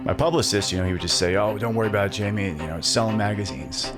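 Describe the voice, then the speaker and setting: A deep-voiced man acting as a haunted former child star in an audio thriller interview. He sounds defensive and insistent, halting as he pleads his case. Music runs clearly underneath. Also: lightly acted. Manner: halting, agitated, earnest. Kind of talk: dramatic acted interview, naturalistic.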